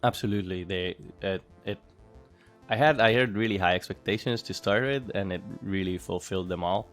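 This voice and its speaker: A man's voice: low voice, brisk, a bit bright, soft background music. A man from Hungary in his thirties answering interview questions in a casual, thoughtful way, with frequent fillers and restarts. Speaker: interviewee, former bootcamp student.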